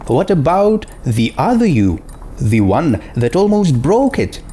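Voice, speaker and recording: A young male storybook sidekick, put on by a man, raised in pitch and full of earnest worry. He presses his point insistently, with a lively swing to the voice. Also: unhurried, a little hiss or hum. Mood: challenging. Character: young male companion, worried, earnest, challenging.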